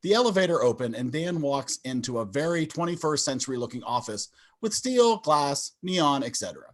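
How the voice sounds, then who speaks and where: A man's voice: fairly high pitch, very animated, brisk. A man reading scripted stage directions as narrator of a table read over a video call.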